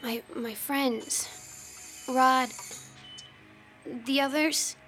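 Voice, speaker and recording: A woman's voice: high-pitched, fairly even intonation, extremely slow, a bit bright, faint music behind, full character voice. An actress playing a dazed, anxious teenage girl who speaks falteringly in an audio drama. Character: dazed, grieving teenage party girl in hospital.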